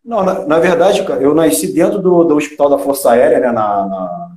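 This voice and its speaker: Halting Carioca man, echoey room. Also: fairly high pitch, mellow. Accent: Carioca Brazilian Portuguese. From Rio de Janeiro, Brazil. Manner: halting, many restarts and fillers. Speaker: interview guest, former footballer. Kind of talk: casual interview chat.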